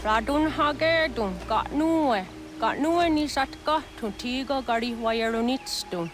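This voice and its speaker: An actress playing a solemn village elder woman, speaking seriously and deliberately in a dramatic video game. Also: high-pitched, music in the background.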